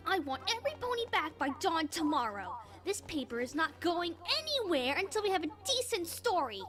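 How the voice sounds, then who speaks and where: Casting: a bossy spoiled filly for a cartoon audio drama, high and hugely animated. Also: female voice, a little fast, soft background music, exaggerated voice. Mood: commanding. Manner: haughty, demanding. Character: bossy, snobbish young filly school newspaper editor.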